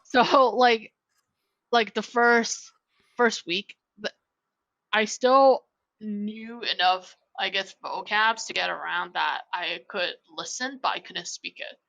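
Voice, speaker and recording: A woman's voice: mid-range voice, steady tone, slow, gravelly, fairly bright tone, no room sound, low-quality recording. A young woman chatting casually on a podcast as a guest, rambling and filling her talk with "like". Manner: rambling, heavy use of "like". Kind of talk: casual chat.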